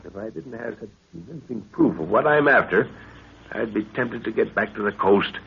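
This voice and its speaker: A thin, narrow-band vintage radio recording carries a middle-aged expedition leader. He is a grave, authoritative father with a low pitch and a very lively, theatrical delivery from 1930s adventure serials. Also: moderate pace, gravelly, slightly rough, heavily acted. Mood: grave, measured. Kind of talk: 1930s radio drama acting.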